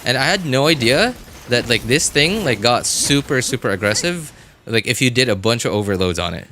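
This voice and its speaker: Bright-voiced man chatting on a game stream. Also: medium pitch, lively intonation, a little fast, a little hiss or hum. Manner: rambling, thinking aloud. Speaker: game livestreamer. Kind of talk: casual gaming stream chat with viewers.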